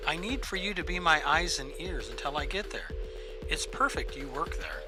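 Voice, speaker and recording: Guy doing a pushy boyfriend, high and animated, bright sound. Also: moderate pace, over music. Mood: urgent. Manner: pressing, insistent.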